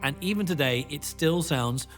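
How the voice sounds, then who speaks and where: A man with a fairly high voice gives conversational commentary in a documentary interview, music clearly behind him. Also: expressive, normal speed, fairly bright tone. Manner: informal, frequent "you know".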